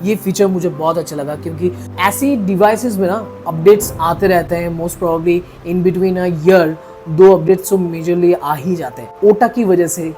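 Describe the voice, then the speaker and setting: A man's voice: high-pitched, measured pace, darkish tone. An Indian man talks through a product review in a casual, explanatory vlog style. He slips English phrases into his sentences as he goes. Faint music plays behind his voice. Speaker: vlogger reviewing motorcycle gear.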